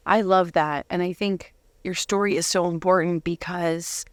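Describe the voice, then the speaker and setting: A woman's voice: on the low side, steady tone, moderate pace, no echo. A woman hosting a casual interview, responding in an affirming, encouraging way. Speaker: interview host.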